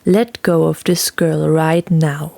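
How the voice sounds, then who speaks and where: A woman playing a cold, menacing young male swordsman in a fantasy audio play, with hushed, controlled threats. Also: low voice, fairly even intonation, slow, gravelly, no room sound, voice pushed down, heavily acted. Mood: stern warning.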